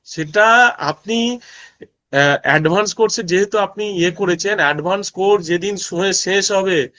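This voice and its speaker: A man's voice: high-pitched, moderate pace, sharp tone. A man teaching a course, emphatic, repeating phrases and often checking that students follow. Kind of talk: online lesson with worked examples. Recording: dull lo-fi sound.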